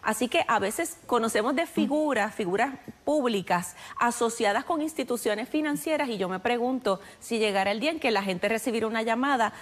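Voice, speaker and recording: A woman, hosting a morning TV interview in a studio with clear presenter delivery. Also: a little high, fairly quick, hardly any pauses. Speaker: TV morning show host. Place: TV news studio.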